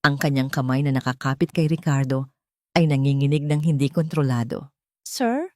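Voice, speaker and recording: A woman's voice: very deep voice, fairly even intonation, brisk, dry recording, studio quality. A woman reading a scripted story as a dramatic narrator.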